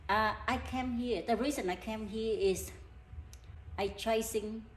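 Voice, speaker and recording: A middle-aged woman from Vietnam with a Vietnamese accent, a healer talking earnestly in halting, broken English. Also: fairly high pitch. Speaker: energy healer. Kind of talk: casual interview talk. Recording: echoey room with background noise.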